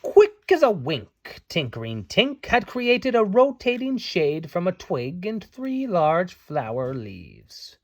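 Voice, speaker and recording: A man's voice: high-pitched, huge pitch swings, takes it slowly, few pauses. A man reading a storybook aloud to children as a parent, playful and theatrical, with little asides. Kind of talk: animated storybook read-aloud.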